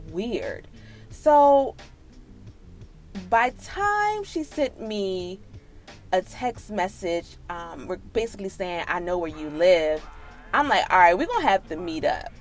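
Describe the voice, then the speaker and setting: Animated American woman telling a story. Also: on the low side. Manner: animated, sassy, slangy. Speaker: storytime vlogger. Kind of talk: casual personal storytelling.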